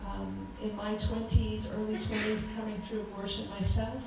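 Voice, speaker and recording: Loud earnest woman in an echoey church. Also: medium pitch, flat delivery, moderate pace, gravelly, music in the background. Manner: earnest, fervent, with many ums. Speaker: guest speaker at a church. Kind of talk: church talk. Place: church hall. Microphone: handheld microphone. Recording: echoey hall from far off.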